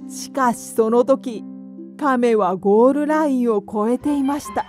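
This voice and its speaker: A woman's voice: fairly high pitch, measured pace, a little mellow. A woman narrator reading a scripted children's fairy tale with careful storytelling phrasing. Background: music.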